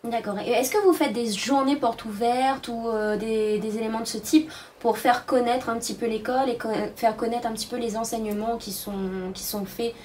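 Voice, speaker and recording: A woman's voice: a little high, fairly even intonation, normal speed, a little mellow, distant mic, a little hiss or hum. A woman acting as interviewer, putting informational questions to a guest.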